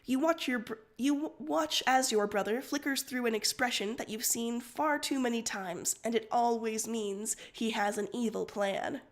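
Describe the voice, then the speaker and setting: A woman reading fan fiction aloud on a livestream in an expressive, informal way. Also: fairly high pitch, moderate pace, few pauses. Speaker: story reader on a livestream.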